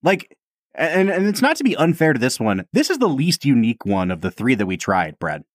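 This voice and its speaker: Animated chatty man on a dry podcast mic. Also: mid-range voice, fairly quick, no room sound. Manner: chatty, self-deprecating, laughs often. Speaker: podcast co-host. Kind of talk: casual podcast chat.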